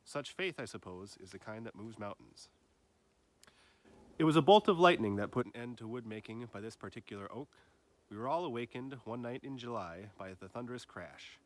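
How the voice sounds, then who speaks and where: A man reading a literary nature essay aloud like an audiobook, with measured, deliberate phrasing, as a teacher would for a class. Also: mid-range voice.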